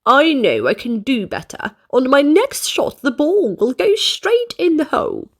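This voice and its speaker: A woman's voice: sits high, huge pitch swings, measured pace, voice pushed up, full character voice. A woman voicing a proud, boastful man golfer in a read-aloud storybook, speaking smugly. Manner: smug, boastful.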